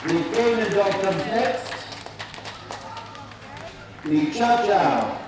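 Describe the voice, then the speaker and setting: A man announcing and commentating at a live show in a measured, deliberate, formal manner. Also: high-pitched, very animated. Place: large indoor show arena. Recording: echoey PA in noisy crowded hall, distant. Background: crowd noise and hall ambience.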